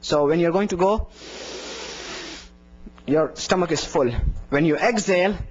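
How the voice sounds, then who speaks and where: A man's voice: on the high side, a little slow, husky. A man teaching how to give the call to prayer, coaching earnestly and singing vowel demonstrations. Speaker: adhan teacher. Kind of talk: instructional talk with slides. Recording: dull lo-fi recording.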